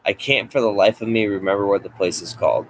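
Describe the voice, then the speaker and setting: A man's voice: fairly low pitch, quick pace, a little hiss or hum. A man co-hosting a casual podcast, reading a scary story aloud with swearing and dry asides. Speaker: podcast co-host.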